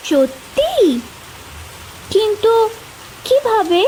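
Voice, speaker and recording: Woman as a high-voiced earnest village girl. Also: big pitch swings, very slow, darkish tone, slight background noise, heavily acted, poor audio. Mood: puzzled. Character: earnest, determined, tender-hearted young village girl. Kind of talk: cartoon voice acting.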